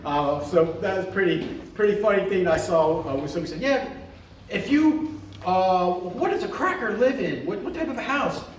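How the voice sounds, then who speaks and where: A man's voice: high-pitched, expressive, moderate pace, slight background noise. Joking middle-aged man in an echoey hall. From Florida. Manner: chatty, joking, many fillers and restarts. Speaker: museum curator giving a lecture. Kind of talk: casual public lecture with jokes. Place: large hall, voice picked up from far off. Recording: very echoey hall from a distant mic.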